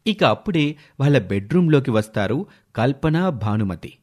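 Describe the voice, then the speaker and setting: A man's voice: mid-range voice, very animated, moderate pace, a little mellow. A man narrating a scripted story in the role of storyteller.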